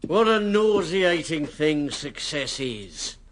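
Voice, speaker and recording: An actor playing a bitter, hard-drinking army major and politician in a radio drama, sardonic, self-mocking and brash. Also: male voice, on the high side, big pitch swings, slow.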